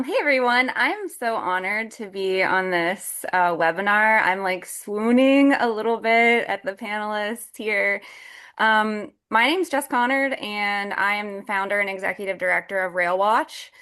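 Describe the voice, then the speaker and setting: A woman's voice: mid-range voice, unhurried, fairly bright tone. A woman in her forties from Ohio, an advocacy group founder, giving an earnest, emphatic testimony on a webinar. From Ohio, United States.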